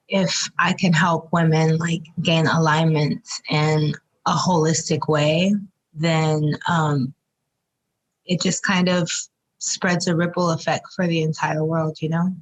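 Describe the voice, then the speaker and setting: A woman's voice: sits low, low-quality recording. A young woman from Trinidad, a doula and guest, talking reflectively in a casual interview with many fillers and restarts. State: three months postpartum.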